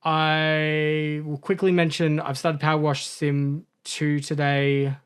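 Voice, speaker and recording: A man's voice: fairly high pitch, completely flat delivery, takes it slowly, smooth. Rambling man chatting on a gaming podcast. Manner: rambling, self-correcting storyteller who laughs at his own mishaps. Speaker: podcast co-host. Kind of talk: casual podcast chat.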